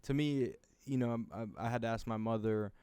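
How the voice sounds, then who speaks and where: Male host, smooth tone, halting and affirming, dry close mic. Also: mid-range voice, steady tone, mellow, dry recording. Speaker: podcast host. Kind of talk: casual interview conversation.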